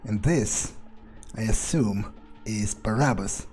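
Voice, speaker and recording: A man giving in-character game playthrough commentary, smug, sardonic and profane, musing haltingly into a close microphone. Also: fairly low pitch, darkish tone, soft background music. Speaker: gamer role-playing his character.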